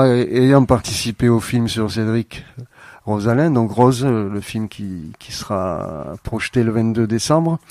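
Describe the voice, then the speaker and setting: A man guesting on a radio show, answering thoughtfully with frequent pauses and fillers. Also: mid-range voice, a little mellow, no echo. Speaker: playwright guest. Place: radio studio.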